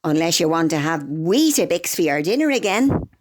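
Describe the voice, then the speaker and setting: Bossy, expressive Irish mammy played by an actress. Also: female voice, low voice, normal speed. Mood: proud, wry. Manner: brash, expressive. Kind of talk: comedy radio drama acting.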